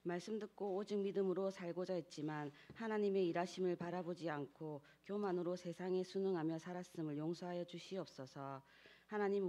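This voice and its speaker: An adult church member leading a formal spoken prayer in a solemn, measured way. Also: mid-range voice, mellow.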